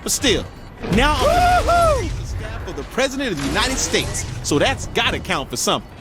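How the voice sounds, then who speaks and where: An actor playing a cocky but let-down gangster rapper in a video game audio log, rueful and self-justifying. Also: male voice, very high voice, wildly swooping pitch, normal speed, exaggerated voice. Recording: music and engine noise behind.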